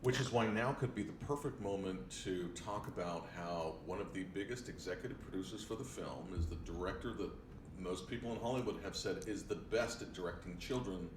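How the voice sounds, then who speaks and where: Guy cohosting a movie podcast, really low voice, noisy rumbly recording. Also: brisk. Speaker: film podcast co-host. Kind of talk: casual film discussion. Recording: roomy, distant mic, noticeable rumbling noise.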